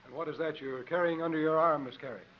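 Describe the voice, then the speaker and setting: Casting: man playing cool sardonic adventurer, slightly high voice, dry formal delivery, old radio play, faintly noisy recording. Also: steady tone, fast talker, dull audio, close to an everyday voice. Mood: smooth. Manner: urbane, dryly formal, unruffled. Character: suave, sardonic, roguish male adventurer, cool under fire. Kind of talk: 1950s radio acting.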